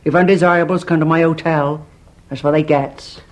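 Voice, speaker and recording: An actress playing a stern, pious, prudish old widow who keeps an inn, with a curt, disapproving manner. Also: female voice, low voice, fairly even intonation, brisk, close to an everyday voice. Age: older. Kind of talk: stage farce radio acting.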